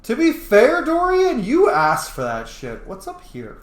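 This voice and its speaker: Animated, echoey gamer thinking out loud. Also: mid-range voice, a little slow. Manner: sweary, playful, chatty. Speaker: gaming streamer. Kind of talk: casual game playthrough commentary.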